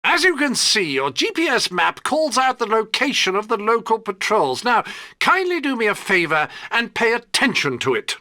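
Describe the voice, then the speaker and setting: An actor playing a fussy, exasperated older British gadget master in a video game, precise and testy. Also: male voice, high voice, very animated, moderate pace, sharp tone, no echo, studio quality, exaggerated voice. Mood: testy, brisk.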